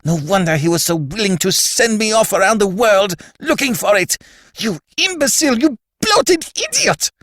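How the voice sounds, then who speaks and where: A shrill, spluttering, hysterical schemer shrieking far above his usual register. His voice leaps and swings wildly, recorded close and dry with no room sound. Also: big pitch swings, normal speed, fairly bright tone, dry recording, full character voice. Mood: furious. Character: shrill hysterical excitable male conspirator.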